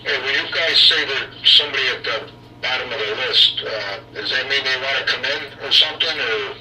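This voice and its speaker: A man speaks casually over a walkie-talkie link, feeling his way through each point. His voice is mid-pitched and sounds distant, with a roomy echo around it. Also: fairly quick, pushed and bright. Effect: transmitted through walkie-talkie app. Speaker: caller on a group radio channel. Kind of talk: casual, hesitant chat. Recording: echoey, noisy, distant radio transmission.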